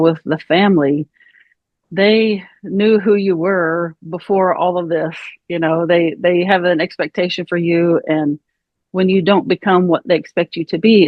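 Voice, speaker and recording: A woman hosting a podcast who speaks in an earnest, reassuring and measured way. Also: lowish voice, dry recording. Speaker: podcast host. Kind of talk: supportive interview talk. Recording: dry, dull lo-fi sound.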